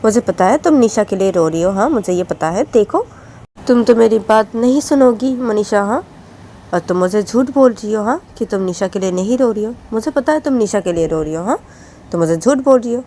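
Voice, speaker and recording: A woman voicing a middle-aged mother who scolds her grown daughter loudly, with faint noise behind. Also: on the low side, fairly quick, creaky. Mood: scolding. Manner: loud, nagging, scolding.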